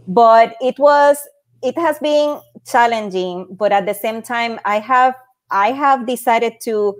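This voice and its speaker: A woman with a Puerto Rican Spanish accent speaks on a video call from a home office. Her voice sits at an ordinary pitch for a woman. She talks earnestly and keeps restarting and repeating words as she goes. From Puerto Rico. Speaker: panel guest, space project manager. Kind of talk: personal career story on a panel.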